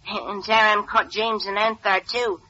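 A woman's voice: mid-range voice, flat delivery, measured pace, pushed and bright. A woman playing a small, anxious male alien who pleads in flowery, hesitant, singsong rhyming couplets in a 1940s radio serial. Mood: earnest. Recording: dull lo-fi old radio recording.

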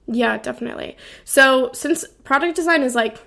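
A woman's voice: high-pitched, normal speed, creaky, fairly bright tone. A woman hosting a podcast, interviewing in a casual, chatty way with plenty of "like" sprinkled through her sentences. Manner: informal, frequent "like" as a filler.

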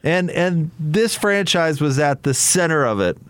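A man's voice: a little high, animated, unhurried, clean tone, dry recording. An American man in casual sports radio discussion as a commentator, hesitating and repeating words while he builds his point.

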